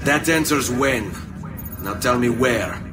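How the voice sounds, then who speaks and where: An actor playing a confident, dryly witty assassin with an Italian accent in a video game. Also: male voice, medium pitch, takes it slowly, over loud music, natural voice. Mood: cool. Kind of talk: video game cutscene acting.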